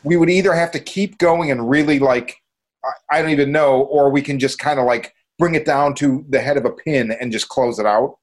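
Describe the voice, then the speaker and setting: A man's voice: medium pitch, normal speed. A man co-hosting a podcast, giving his opinions in informal, casual talk and reasoning aloud as he goes. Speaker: podcast co-host.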